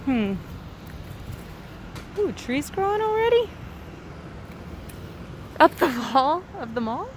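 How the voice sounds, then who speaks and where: A woman filming a walking vlog through an empty mall on her phone, musing aloud in a wistful way with soft hums. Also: sits high, very animated, slow pace, long pauses, background noise. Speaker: vlogger. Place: inside an empty shopping mall. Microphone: phone camera held while walking.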